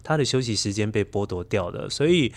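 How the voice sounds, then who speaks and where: A man's voice: lowish voice, lively intonation, fairly quick. A middle-aged man with a Taiwanese accent, a storyteller speaking as an interview guest and sharing personal anecdotes in conversation.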